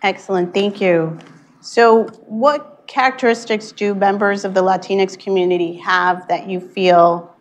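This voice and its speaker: A woman's voice: mid-range voice, fairly even intonation, measured pace. A woman moderating a panel discussion, giving brief and polite prompts.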